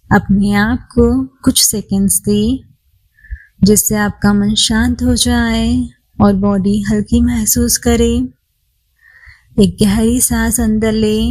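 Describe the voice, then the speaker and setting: A woman guides a meditation at a very slow pace. She sounds calm and soothing, leaving long, unhurried pauses as she instructs the listener to relax. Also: fairly high pitch, rough recording. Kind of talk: guided body scan meditation.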